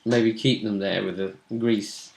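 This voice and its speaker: A man's voice: on the low side, steady tone, a little slow, vocal fry, low-quality recording. A man chatting casually as a game master, recapping a tabletop role-playing session.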